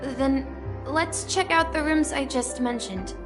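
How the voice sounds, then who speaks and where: A woman's voice: high voice, animated. A woman voicing a timid, polite young boy doorman in a video game, hesitant and eager to help. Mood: unsure, helpful. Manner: hesitant, halting. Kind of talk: scripted game voice acting. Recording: long hall reverb, faint music.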